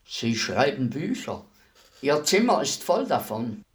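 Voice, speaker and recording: A man's voice: medium pitch, big pitch swings, a little slow. Courteous, matter-of-fact male executioner. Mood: businesslike. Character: polite state executioner, businesslike, unruffled, deferential hired killer. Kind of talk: German radio play acting.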